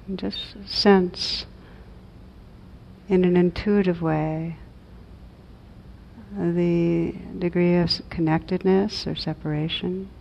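A woman guides a meditation in a gentle, mellow voice that stays very even in pitch. She speaks very slowly and reflectively, leaving long pauses between phrases. The recording is a little dull. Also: vocal fry. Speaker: meditation teacher. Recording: dull muffled audio, low rumbling noise.

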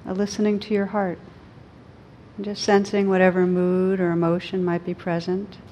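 A woman leading a guided meditation as a teacher, speaking gently and soothingly with long pauses between phrases. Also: little pitch movement. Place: live group meditation gathering. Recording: muffled live recording with low rumble noise.

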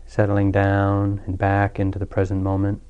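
A man leading a guided meditation as a teacher, speaking calmly and soothingly with long pauses. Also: lowish voice, smooth, phone-quality sound. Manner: calm, unhurried, soothing delivery with long pauses.

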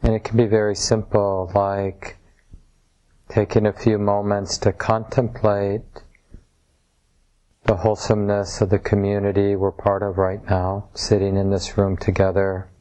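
A man leading a guided meditation as a teacher, speaking in measured short phrases with pauses between them. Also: on the low side, completely flat delivery, mellow, poor audio. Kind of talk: guided meditation, softly instructive. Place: room at a retreat center.

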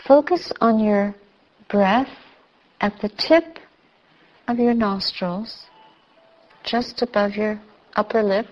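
A woman meditation teacher giving guided instruction in a measured, soothing way, with long pauses between phrases. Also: mid-range voice. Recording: slightly dull, muffled recording.

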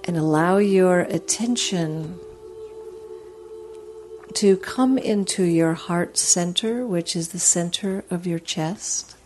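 A woman leading a guided meditation as a teacher, speaking in a soothing, gentle, unhurried way with long pauses. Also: lowish voice, faint music behind.

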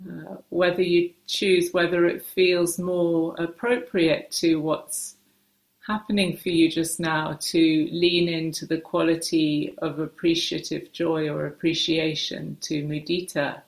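Slow, low-voiced woman guiding meditation. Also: level tone. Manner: unhurried, hesitant pauses between short phrases. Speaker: meditation teacher. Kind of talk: guided meditation, gentle instruction. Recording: roomy, echoing space.

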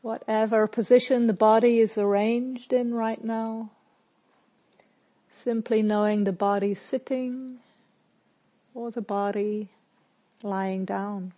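A woman leading a guided meditation as a teacher, speaking gently and with measured, spare phrases separated by long silences. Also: a little high, steady tone. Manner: gentle, measured, softly instructive.

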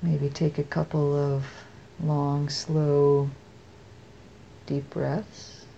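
An American woman leading a guided meditation as a teacher, speaking softly and unhurriedly with long pauses. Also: low voice, flat delivery, gravelly, lo-fi sound, slight background noise.

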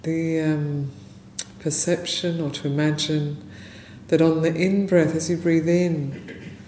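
A woman leading a guided meditation as a teacher, speaking gently and unhurriedly in short phrases with long pauses. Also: sits low, steady tone. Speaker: meditation teacher, Buddhist nun. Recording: roomy echo, faint background noise.

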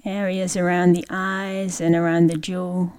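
A woman leading a guided meditation, speaking gently in short, unhurried phrases with long pauses. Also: lowish voice, flat delivery, clear, resonant tone. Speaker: meditation teacher.

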